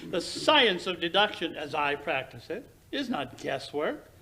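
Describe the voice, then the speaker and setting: A man plays a brilliant gentleman detective from an old radio mystery. His voice is high and swoops widely as he lectures with precise, theatrical self-assurance and a touch of condescension. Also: sing-song, measured pace, exaggerated voice. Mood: lecturing. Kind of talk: recreated 1940s radio drama acting.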